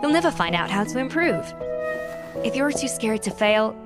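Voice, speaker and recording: A composed, mentoring chef. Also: female voice, a little high, sing-song. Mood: encouraging. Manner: measured, instructive, gently encouraging. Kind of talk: video game voice acting. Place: recording studio. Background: game background music.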